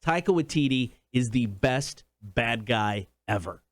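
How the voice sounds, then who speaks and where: A man's voice: mid-range voice, animated, a little slow, no echo, studio quality. A man co-hosting a casual entertainment talk show, chatting informally. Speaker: show co-host.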